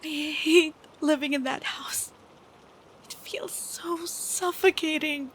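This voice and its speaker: High-pitched young woman, slow hesitant delivery, soft, affectionate troubled best friend, acted by a woman, intimate audio roleplay, faint rain behind. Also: rough recording. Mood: upset, weary. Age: young adult. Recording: steady background noise of rain. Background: rain sounds.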